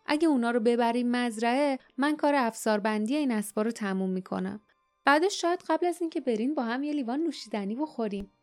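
A woman's voice: a little high, darkish tone, soft background music, natural voice. A woman voicing a cunning middle-aged male shopkeeper who talks about himself in the third person in a wheedling way, in an audiobook. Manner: cunning, wheedling, calls himself poor Tom.

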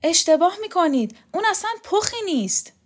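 A woman voicing an earnest, anxious young male student who pleads quickly. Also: extremely high pitch, sing-song, voice pushed up. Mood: pleading.

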